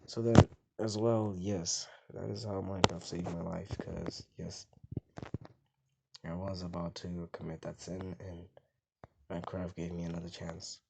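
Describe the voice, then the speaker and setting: A young man with a very deep voice, rambling casually in a gaming vlog and sometimes mumbling softly. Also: flat delivery, unhurried, creaky. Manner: rambling, soft and mumbled at times, hard to hear. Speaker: gaming vlogger. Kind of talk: casual rambling talk to camera. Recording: loud background noise with mouse clicks.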